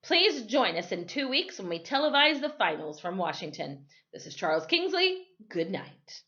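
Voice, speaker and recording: Storybook quiz host, male character read by a woman: formal, deliberate announcer delivery, lo-fi sound. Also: mid-range voice, big pitch swings, pushed and bright. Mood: excited announcing.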